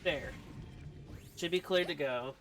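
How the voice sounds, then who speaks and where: A man's voice: fairly high pitch, slow pace, a bit bright, slight background noise. A man streaming a video game with casual commentary, reacting and reading along. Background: game music and sounds.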